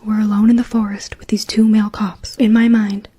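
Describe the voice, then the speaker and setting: Soft whispering woman telling a story. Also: medium pitch, level tone, a little fast, breathy edge. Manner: whispering softly throughout; chatty, lots of "like" and "um".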